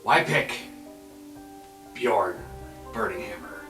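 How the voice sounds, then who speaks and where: A man plays casually in an improvised tabletop game. His voice is bright and animated in pitch. It sounds echoey, a little away from the microphone. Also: slow pace. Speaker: player in a tabletop roleplay podcast. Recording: echoey, distant mic, faint music behind.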